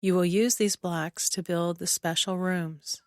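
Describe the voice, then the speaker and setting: Female guided imagery narrator: soothing, measured delivery, clean smooth tone, very unhurried, with long pauses. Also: lowish voice, fairly even intonation, no echo, clean studio sound. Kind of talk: guided relaxation meditation, scripted narration.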